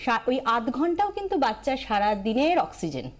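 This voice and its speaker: A woman's voice: a little high, very animated, moderate pace. A woman with an Indian Bengali accent giving explanatory advice as a TV expert guest, emphatic, repeating key phrases and dropping in English terms.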